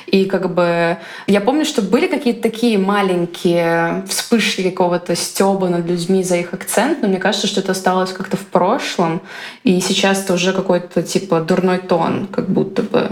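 Thoughtful female interviewer in roomy echo. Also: fairly low pitch. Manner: thoughtful, measured, frequent "мгм" backchannels. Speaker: host, interviewer. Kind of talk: interview conversation.

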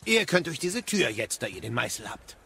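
A man's voice: medium pitch, big pitch swings, normal speed, creaky, pushed and bright, slightly rough, exaggerated voice. A man playing a chatty, wise, wry guide companion who explains things in a video game audio drama. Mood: reassuring, informative. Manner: talkative, explanatory.

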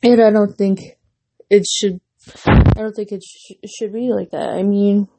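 A woman's voice: medium pitch, steady tone, a little slow, gravelly, background noise. A young woman hosting a casual solo podcast, rambling with many fillers and restarts while she is losing her voice and has a runny nose. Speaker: podcast host.

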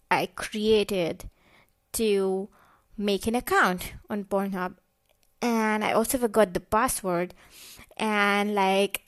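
Slow-talking woman, hesitant comic confession. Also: mid-range voice. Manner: hesitant, full of fillers and restarts. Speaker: guest storyteller.

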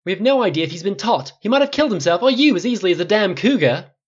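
A man's voice: high voice, lively intonation, racing, dull audio, pitched above the performer's own voice. A man voicing a livid, commanding ranch boss who barks and snaps in an audiobook story. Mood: furious. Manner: snapping, barking, forceful.

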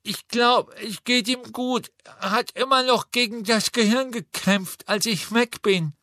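A man voicing a brave, desperate teenage boy wizard who shouts defiantly through strain. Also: extremely high pitch, a little slow, dry recording, studio quality, pitched above the performer's own voice, heavily acted. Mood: shaken.

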